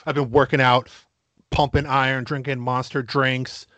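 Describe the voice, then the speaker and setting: A man's voice: medium pitch, flat delivery, a bit bright, no echo. Brief: man, crude podcast co-host, boastful mock-macho drawl, dry close mic. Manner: crude, boastful mock-macho bits, slow emphatic delivery. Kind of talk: casual comedic podcast banter.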